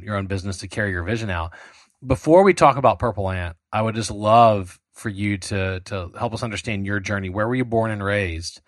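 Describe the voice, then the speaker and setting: A man hosting a podcast interview, asking questions in a halting way with many restarts and a playful touch. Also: lowish voice, no echo, clean studio sound. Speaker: podcast host.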